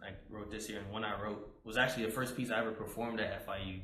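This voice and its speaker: A young man with a low, slightly hoarse voice chats loosely and rambles, with lots of "um"s. The sound is roomy and echoey, with a faint background hiss. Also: fast. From United States. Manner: relaxed, rambling with many fillers. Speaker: spoken word poet guest. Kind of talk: casual interview talk.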